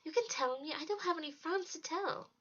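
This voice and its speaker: Woman doing an eager high-voiced little German boy. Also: lively intonation, brisk, a bit bright, dull audio. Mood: desperately curious. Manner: eager, childlike, innocent. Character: excitable, curious ten-year-old German village boy. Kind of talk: storybook character voice.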